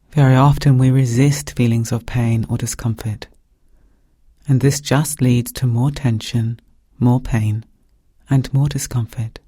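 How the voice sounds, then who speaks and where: A man with a soft, breathy voice guiding a meditation in calm, gentle, measured phrases with pauses. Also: mid-range voice, no echo, studio quality. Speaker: meditation guide. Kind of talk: guided meditation narration.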